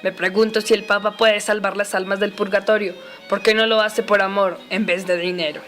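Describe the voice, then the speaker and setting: A woman's voice: medium pitch, level tone, brisk, fairly bright tone, soft background music, natural voice. A woman playing a pious, earnest male reformer monk in an amateur educational radio play, with solemn scripted delivery. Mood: reproachful.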